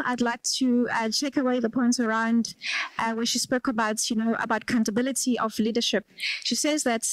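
A soft-spoken woman in her thirties from South Africa, giving a halting vote of thanks from notes into a handheld mic in a small conference room. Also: on the high side, no echo, poor audio. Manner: soft-spoken, hesitant with many fillers. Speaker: regional business forum chair giving vote of thanks. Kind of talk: formal closing remarks, reading notes. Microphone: handheld microphone.

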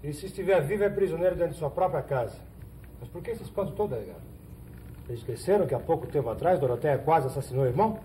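Casting: man as insistent Brazilian hero of the backlands, quick, highish, mellow; vintage TV drama. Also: animated, natural voice. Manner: insistent, direct. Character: earnest, sharp-minded hero of the backlands. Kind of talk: 1970s telenovela acting. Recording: noisy old 1970s TV soundtrack.

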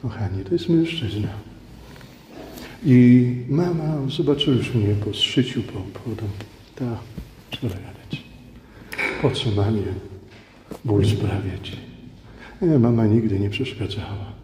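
A man's voice: on the low side, takes it slowly, long pauses, darkish tone. Middle-aged male preacher in echoing church. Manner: conversational, emphatic, repeats phrases for effect. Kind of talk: sermon with personal anecdotes. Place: large church indoors. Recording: echoey church hall with background noise.

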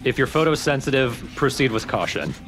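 A man's voice: medium pitch, big pitch swings, brisk. A man giving casual commentary while playing a video game. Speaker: let's play commentator and player. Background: game music.

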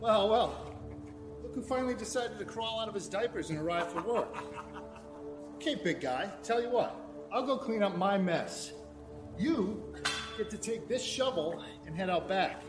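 A man's voice: high voice, animated, normal speed, over music. A man playing a bullying, sarcastic boss who browbeats his worker in an audio drama. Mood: mocking.

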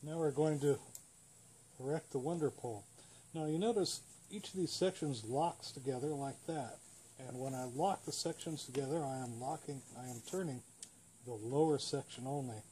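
An American man hosts a hands-on demonstration in a casual, plain-spoken way. His voice sits at an average pitch as he explains each step. He is recorded outdoors on a camera mic, with clear ambient noise behind him. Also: moderate pace, warm tone, low-quality recording. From United States. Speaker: host, radio hobbyist demonstrating a home-built antenna. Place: outdoors beside a parked car.

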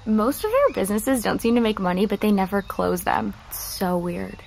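A woman's voice: mid-range voice, expressive, moderate pace, creaky, a little mellow, slight background noise, rough recording. A woman with an American accent playing a blunt, exasperated teenage girl in a naturalistic audio drama. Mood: curious, blunt.

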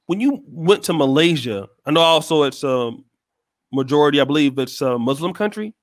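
A man hosting a video call interview, relaxed and hesitant, often restarting his questions. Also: on the high side, moderate pace, no room sound, studio quality. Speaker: host. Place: small room indoors. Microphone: headset and studio mic.

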